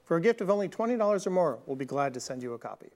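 Animated man teaching Bible prophecy. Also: on the high side, rapid-fire. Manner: animated, acts out quoted people in casual voices. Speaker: co-host of a Bible prophecy TV program.

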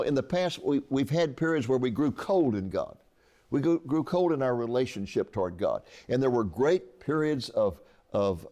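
An earnest man in his eighties talks through his book as an interview guest. His voice sits at an ordinary middle pitch, and he often doubles back and restarts his words as he goes. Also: a little mellow. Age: 80s. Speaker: author guest. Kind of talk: conversational interview.

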